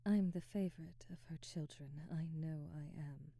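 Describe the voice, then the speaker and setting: A woman playing a proud, ambitious vampire aristocrat in an intimate ASMR roleplay, speaking softly and breathily with measured, formal diction. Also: low-pitched, dry recording, clean studio sound. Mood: proud, resentful. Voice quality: soft, breathy, whispery. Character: proud, ambitious female vampire aristocrat, remorseful, domineering captor.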